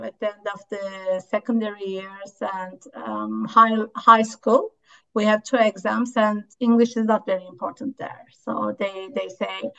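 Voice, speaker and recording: Casting note: Turkish-accented woman, fairly high voice, English teacher, halting with fillers, muffled lo-fi sound. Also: fairly bright tone. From Turkey. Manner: hesitant, many fillers and restarts. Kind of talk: interview answers.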